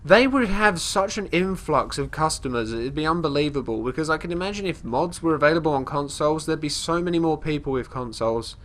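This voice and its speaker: A man's voice: fairly high pitch, expressive, brisk, few pauses, a bit bright. A congested man chatting casually as he plays a fantasy game, rambling and often restarting his sentences while describing his character's actions. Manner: talks about his character in third person. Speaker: let's play host. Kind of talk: casual gameplay commentary.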